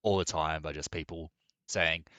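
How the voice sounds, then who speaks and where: Low-voiced guy hosting a podcast, bright edgy tone, blunt and offhand, dry close mic. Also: steady tone, moderate pace, no room sound. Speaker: podcast host. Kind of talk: casual political chat.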